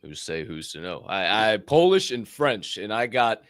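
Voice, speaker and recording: A man from the United States co-hosting a live podcast, loud and profane, laughing often and swinging between shouting and low mumbles. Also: sing-song, normal speed, no echo, studio quality. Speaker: podcast co-host. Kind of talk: casual live podcast chat.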